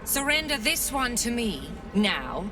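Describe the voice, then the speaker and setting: A haughty, cruel cult matriarch, dramatically swooping. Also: female voice, fairly high pitch, big pitch swings, measured pace, distant mic. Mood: cold, persuasive. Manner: haughty, condescending. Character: imperious, cruel cult matriarch, contemptuous and commanding. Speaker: villain character in a fantasy video game. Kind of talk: theatrical game dialogue. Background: background music.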